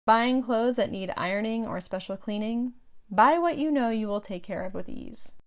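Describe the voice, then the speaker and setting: A middle-aged woman narrating her own audiobook from a script, with measured, clearly phrased delivery. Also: fairly high pitch.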